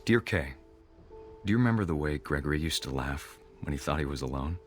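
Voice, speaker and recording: A man's voice: very deep voice, expressive, brisk. An actor playing an earnest middle-aged American father in a video game, encouraging but firm. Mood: wistful, tender. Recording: music behind the voice.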